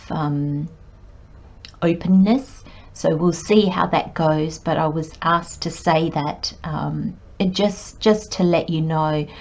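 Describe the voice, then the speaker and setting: Woman tarot reader, croaky voice, lowish pitch, slow and meandering, dull lo-fi recording. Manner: unhurried, meandering with many pauses and fillers. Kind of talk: spoken tarot reading monologue.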